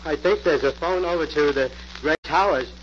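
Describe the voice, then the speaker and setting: A male actor plays a timid, simple-minded backwoods man from vintage radio drama. He speaks haltingly and folksily in a slightly high voice. The sound is dull and full of noise. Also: a bit bright, natural voice. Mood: fearful, hesitant. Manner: hesitant, folksy, ungrammatical. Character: timid, simple-minded country fellow, harmless and fearful. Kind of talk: 1940s radio acting. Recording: muffled, very noisy old-time radio recording.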